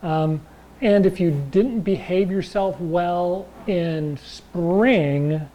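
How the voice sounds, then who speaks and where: A man teaching a chi gung and tai chi class in a loose, rambling way, with a slightly raspy voice and frequent check-ins with his listeners. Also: sits high, extremely slow, mellow, poor audio. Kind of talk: casual class talk.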